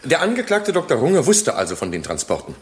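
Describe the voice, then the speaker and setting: An actor playing a probing, pointed public prosecutor in a radio courtroom drama, pressing and rhetorical. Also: male voice, fairly high pitch, huge pitch swings, a little fast.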